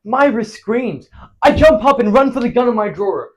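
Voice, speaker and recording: A man acts out a rattled husband telling his tale in a comic stage play. His voice sits fairly high and swings widely in pitch. He stammers, stops for dramatic pauses and lurches between big emotions. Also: big pitch swings. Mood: frantic, flustered. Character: flustered married man frantically recounting a disastrous anniversary night. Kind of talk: theatrical comic stage monologue.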